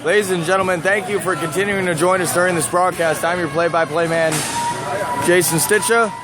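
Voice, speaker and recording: A man working as a play-by-play announcer, voice hoarse from calling many games, talking briskly and breaking into shouts on big plays. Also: high voice, fairly even intonation. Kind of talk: live sports play-by-play commentary. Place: small broadcast booth above an indoor rink. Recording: loud arena crowd and noise.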